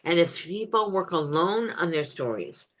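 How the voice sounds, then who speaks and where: A woman's voice: fairly low pitch, lively intonation, moderate pace. A woman speaker answering audience questions in a webinar over a video call, direct, with frequent ums and restarts.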